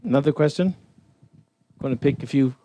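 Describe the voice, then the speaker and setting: Mellow-voiced male panel moderator. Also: a little high, brisk, slight background noise. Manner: brief, reads audience questions aloud.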